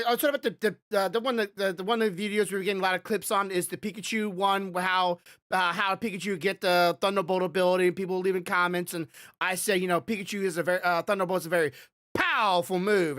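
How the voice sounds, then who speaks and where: Loud, passionate male podcast host. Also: high voice, no echo, studio quality. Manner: rambling, passionate, chatty. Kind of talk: casual podcast chat.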